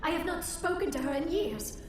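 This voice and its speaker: Young alien lady scientist for a game, high voice, anxious n earnest, proper wording, kinda far off and echoey. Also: expressive, moderate pace. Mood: defensive, earnest. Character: young alien woman archaeologist, anxious, earnest, trapped, pleading. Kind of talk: video game cutscene voice acting. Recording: echoey, distant, faint background noise.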